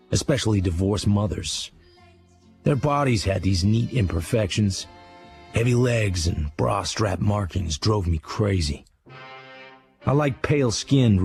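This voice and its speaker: An actor playing a brooding American crime writer, narrating a drama in a terse, hard-boiled, gravelly voice. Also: male voice, lowish voice, a little mellow. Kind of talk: first-person scripted drama narration. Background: music.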